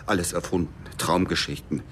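An anguished man in a naturalistic radio drama. His voice sits low, and he talks haltingly, breaking off and repeating himself like someone stubbornly obsessed. Faint background ambience surrounds him. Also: fairly even intonation, a bit bright, slight background noise. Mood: wistful, obstinate. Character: anguished, obsessive man searching for a missing girl.

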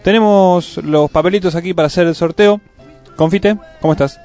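A man's voice: high voice, moderate pace, darkish tone, faint music behind. A man with an Argentine accent co-hosting a community radio show in a casual, chatty style. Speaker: radio co-host.

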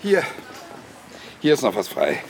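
A man's voice: mid-range voice, huge pitch swings, measured pace, noisy background. An actor in a radio drama playing a stubborn, dreamy widowed pensioner in his sixties, solemn in verse, hesitant and dry in talk. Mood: testy, stubborn. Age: 60s.

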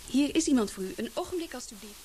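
An actress playing a helpful, brisk newspaper secretary in a Dutch radio play, with polite telephone formality. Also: female voice, sits high, expressive, dark tone, husky.

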